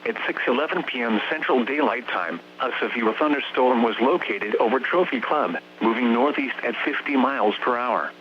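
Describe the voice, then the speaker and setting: A man's voice: medium pitch, normal speed, few pauses. A synthetic American man's voice comes through a dull, humming weather radio broadcast. He reads scripted warning bulletins formally and evenly paced, in clipped, automated phrases, with faint noise underneath. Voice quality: synthetic text-to-speech voice.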